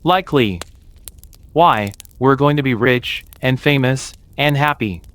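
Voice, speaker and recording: A flippant grown son played by a man in a dramatised audio story. He teases with playful mockery in a smooth, clear voice of medium pitch. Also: measured pace, a bit bright, close to an everyday voice. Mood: teasing. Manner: playfully mocking.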